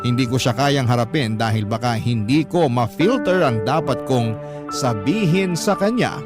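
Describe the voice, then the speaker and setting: Filipino male narrator actor in role, mid-pitched, troubled and confessional, scripted radio love story with clear music bed. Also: lively intonation, moderate pace, natural voice. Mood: ashamed, anxious. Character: troubled, embarrassed young man confiding his love story. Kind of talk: scripted first-person radio drama narration. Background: background music.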